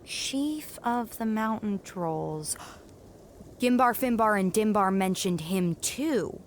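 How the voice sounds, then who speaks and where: A woman's voice: mid-range voice, expressive, takes it slowly, a little hiss or hum. A woman playing a determined, kind young female mountain climber, plucky and inquisitive, in a family audio drama. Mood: friendly, curious.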